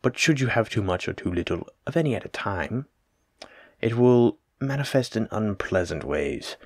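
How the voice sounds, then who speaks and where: Old-world plague physician , male actor: low register, formal, polite, wordy, reassuring, close dry roleplay audio. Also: sing-song, normal speed, slightly rough, no room sound, exaggerated voice. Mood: explaining. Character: courteous, learned male plague doctor of olden times. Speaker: physician examining a patient. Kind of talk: soft-spoken roleplay audio drama, addressing the listener.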